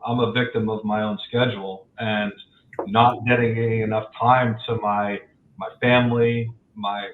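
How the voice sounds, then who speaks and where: Candid man in his forties on a tinny call. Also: mid-range voice. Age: 40s. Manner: candid, reflective. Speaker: loan officer guest. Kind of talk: podcast interview. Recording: echoey, tinny remote call audio.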